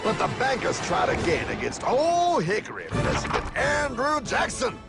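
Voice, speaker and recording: An actor playing an earnest, folksy, patriotic history guide, narrating dramatically in a cartoon. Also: male voice, extremely high pitch, wildly swooping pitch, normal speed, creaky, heavily acted. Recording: loud music behind the voice.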